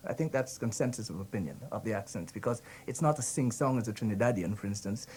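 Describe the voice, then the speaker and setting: A man from Guyana with a Guyanese accent, thoughtfully giving a spoken account, hesitant and pausing. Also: fairly low pitch, animated, darkish tone. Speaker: interviewee.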